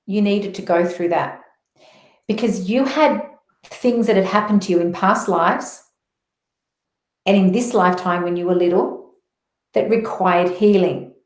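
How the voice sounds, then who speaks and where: A woman's voice: medium pitch, a little slow, long pauses. A middle-aged woman with an Australian accent giving personal spiritual testimony as an interview guest, telling it earnestly and with emphasis. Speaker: interview guest, motivational speaker. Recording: roomy echo on voice.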